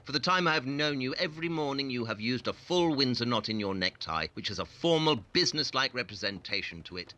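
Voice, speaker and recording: Guy doing a snooty sleuth in an audio play, forceful edge, swoops around a lot, picky and precise. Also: medium pitch. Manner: precise, clipped, pedantic interrogation. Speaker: actor in an audio drama.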